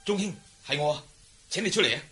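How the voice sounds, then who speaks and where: A man's voice: sits high, lively intonation, fast, sharp tone, slightly rough, full character voice. A man playing a polite, mysterious young horseman in a Cantonese martial-arts radio drama, speaking in a courteous, coaxing way.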